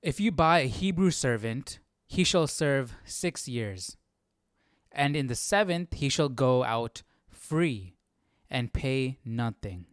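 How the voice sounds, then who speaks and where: A man's voice: mid-range voice, lively intonation, takes it slowly, clean tone, no echo. A man with a clean, resonant voice hosts an informal study session. He alternates reading aloud with questioning, off-the-cuff remarks and checks for agreement. The sound is dry and close, with little room in it. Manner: questioning, thinking aloud, often seeking agreement. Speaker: host of a livestream Bible study.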